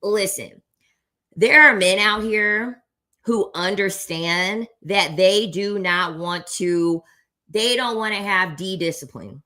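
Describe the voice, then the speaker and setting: A woman with a bright, edgy voice runs commentary on a clip she is reacting to. She is blunt and emphatic, drives her points home with repetition and the odd curse, and speaks at an ordinary pitch. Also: takes it slowly. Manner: blunt and emphatic, with swearing and repeated phrases. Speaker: content creator reacting to a debate clip.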